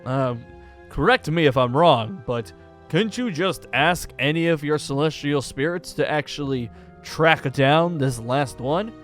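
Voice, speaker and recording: A man's voice: a little high, expressive, measured pace, a bit bright. A man playing a curious, easily impressed young gunslinger in an improvised Minecraft roleplay, talking casually and full of questions. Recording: background music under the voice.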